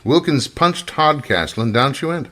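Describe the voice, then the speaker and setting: An actor playing an affable, wry male professor in an old-time radio mystery, chatty and pausing as he recalls things. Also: medium pitch, expressive, bright, forceful tone. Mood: wry, obliging.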